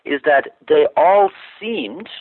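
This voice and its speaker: A man's voice: fairly high pitch, animated, slow pace, no echo. A man from Ireland, a talk-show guest calling in by phone, explaining his views thoughtfully and restarting his sentences often. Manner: thoughtful, with frequent restarts and filler words. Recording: tinny dry phone line.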